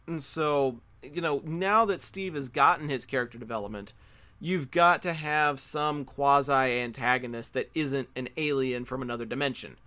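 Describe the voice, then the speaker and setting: A man's voice: mid-range voice, normal speed, pushed and bright, dry recording. A man co-hosting a podcast, talking casually about pop culture and repeating words for emphasis. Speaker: podcast co-host.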